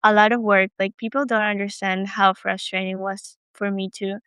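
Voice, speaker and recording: A woman's voice: mid-range voice, flat delivery, normal speed, a bit bright, smooth, no room sound. A woman from Argentina co-hosting a podcast, speaking casually and hesitantly with many ums. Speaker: podcast co-host.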